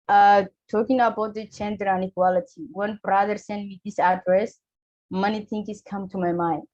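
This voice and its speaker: Woman from Somalia, earnest, halting English, muffled lo-fi call audio. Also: medium pitch, darkish tone. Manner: earnest, halting non-native English with pauses. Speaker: webinar speaker, refugee community leader. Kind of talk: online webinar talk.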